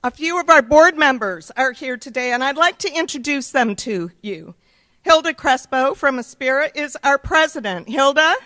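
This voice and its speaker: A woman giving a formal welcome speech as head of the organizing group, with a loud, upbeat voice, reading thanks and introductions at a podium in a conference room. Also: high-pitched, lively intonation, moderate pace. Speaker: CEO opening a summit.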